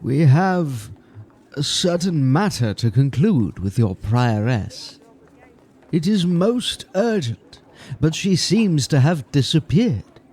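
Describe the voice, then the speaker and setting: A male actor plays a wary friar in a medieval horror drama. His voice is raspy-edged and swings widely in pitch as he speaks slowly. He is formal, solemn and earnest. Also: sing-song, dark tone, full character voice. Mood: urgent, formal. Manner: formal, measured, grave. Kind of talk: dramatic audio drama dialogue.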